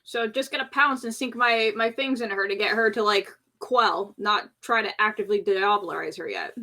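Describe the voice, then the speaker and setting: A woman's voice: medium pitch, moderate pace, sharp tone. A woman playing a tabletop roleplay game, talking casually through her character's moves.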